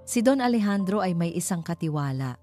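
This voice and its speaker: A woman's voice: lowish voice, expressive, fairly quick, mellow, close to an everyday voice. A woman playing a grave, shaken elderly male retired teacher in a dramatic story. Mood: grave recounting. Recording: music behind the voice.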